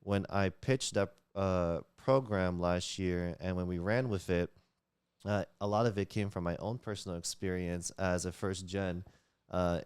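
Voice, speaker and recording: A man's voice: deep voice, fairly even intonation, measured pace, a little mellow, clean tone, no echo, clean studio sound. A man, a Tagalog speaker, talking earnestly as a guest in a casual interview, with many fillers and restarts. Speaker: community leader, guest.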